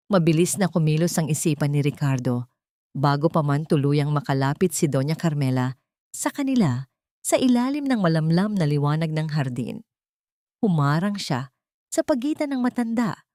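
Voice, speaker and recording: A woman with a low voice narrates a scripted romantic drama. The recording is dry and close, and she reads as a storyteller. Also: mellow, dry recording.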